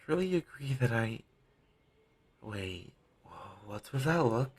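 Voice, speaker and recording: A man playing a devoted, apologetic talent agent in an audio roleplay, earnest and hesitant, with a husky, gravelly voice on a low-quality amateur recording. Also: big pitch swings, a little slow. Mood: defensive, apologetic. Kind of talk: scripted audio roleplay, intimate one-sided dialogue.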